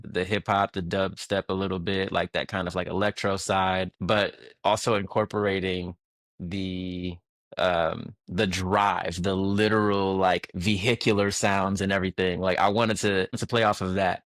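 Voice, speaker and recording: Male guest with a very low voice, easygoing and chuckly, dry close mic. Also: a little slow, no echo. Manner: relaxed, laughs often, many fillers and restarts. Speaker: guest music producer. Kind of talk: casual interview chat.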